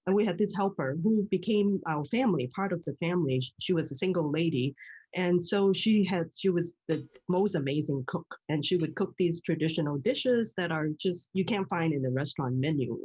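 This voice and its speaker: A woman's voice: on the low side, moderate pace, a little mellow, husky, poor audio. A middle-aged woman from Hong Kong, a guest in casual chat, talking freely with frequent laughs and restarts. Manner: chatty, laughs often, many restarts.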